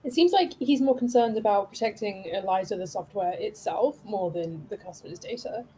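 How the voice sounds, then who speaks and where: Female let's-play co-commentator: casual, rapid delivery, soft mellow tone. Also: mid-range voice, animated, low-quality recording. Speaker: game streamer's co-player.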